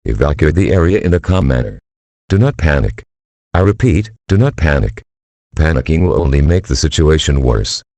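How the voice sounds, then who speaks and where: Very deep, animated synthetic male warning voice. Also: normal speed, no echo. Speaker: emergency warning announcer. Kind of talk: formal scripted weather emergency warning.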